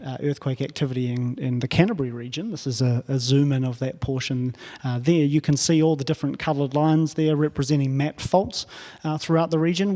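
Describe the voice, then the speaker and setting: New Zealand male lecturer, halting delivery full of fillers, ringing lecture-hall reverb. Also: mid-range voice, mellow. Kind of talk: technical lecture.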